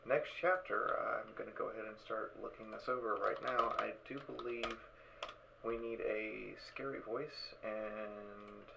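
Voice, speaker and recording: A man hosting a casual live stream over voice chat, talking haltingly and repeating his words as he organises. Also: fairly low pitch, fairly even intonation, gravelly, pushed and bright. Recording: dull voice chat with background noise.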